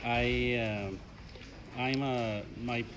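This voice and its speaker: A young man from Mexico with a Mexican Spanish accent, a native Spanish speaker answering interview questions in a halting way with fillers and restarts. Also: medium pitch, steady tone, noisy background. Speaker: interview guest, ESL learner.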